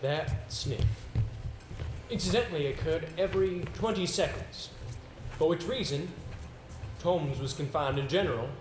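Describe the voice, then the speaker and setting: A man on stage playing an earnest, polite young male solicitor with formal, measured speech, in a roomy, slightly noisy recording. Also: a little high, natural voice. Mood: grave, descriptive. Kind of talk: amateur stage play narration and dialogue. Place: indoor stage, picked up with room sound.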